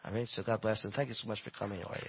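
A man leading a congregation, introducing the guest speaker in an informal, rushed way. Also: lowish voice, steady tone, highs cut off, a little hiss or hum.

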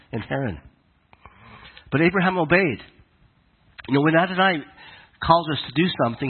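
A man with a cold and a raspy voice, giving a conversational, halting sermon to his congregation. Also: medium pitch, narrow, tinny audio. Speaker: congregation leader. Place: congregation hall in Alberta.

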